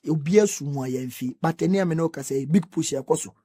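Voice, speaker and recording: Male, Ghanaian accent, rapid and emphatic political talker, dry close sound. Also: on the high side, warm tone, slightly rough, no room sound. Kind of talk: animated political discussion about roads.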